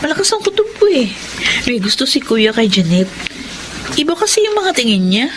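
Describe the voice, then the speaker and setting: An actress playing an outspoken, supportive sister in a Filipino radio drama, frank and chatty, with a Filipino accent. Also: female voice, sits high, big pitch swings, normal speed, noisy background. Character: outspoken, supportive sister, protective of her brother.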